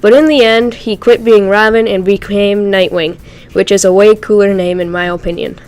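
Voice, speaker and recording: A woman's voice: mid-range voice, steady tone, normal speed, a little mellow, natural voice. A woman voicing a joking young male speedster hero in an amateur audio drama, chatty and teasing.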